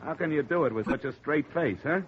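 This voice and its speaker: An actor playing a tough, sardonic male insurance investigator in a 1950s radio drama, talking in a dry, needling deadpan. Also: fairly high pitch, brisk, natural voice. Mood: weary sardonic. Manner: wry, needling, deadpan menace. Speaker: lead detective and narrator. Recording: dull old radio broadcast, faint hiss.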